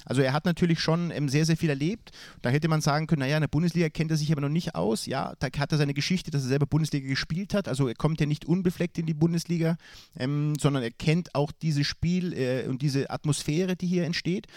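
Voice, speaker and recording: A man's voice: on the high side, fast, darkish tone, dry recording, clean studio sound. A man with a cold, answering interview questions about his club's coach hesitantly, with many fillers and restarts. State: has a cold. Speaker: football club sporting director.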